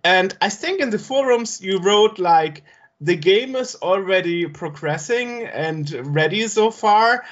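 A man's voice: sits high, animated, unhurried, a bit bright, muffled recording. A man interviewing a game developer, asking his questions hesitantly and thinking aloud as he goes.

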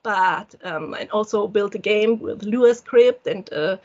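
A woman with a German accent, a solo game developer answering interview questions in halting English, often restarting her sentences. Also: mid-range voice, darkish tone, dull audio. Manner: halting, searching for words, frequent restarts.

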